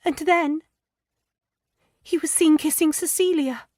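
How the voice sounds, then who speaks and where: A woman voicing an anxious, tearful young wife in an audiobook, pleading and stammering in a high, breathless voice. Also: steady tone, slow, darkish tone, no echo, clean studio sound. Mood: distressed. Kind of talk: audiobook character voice.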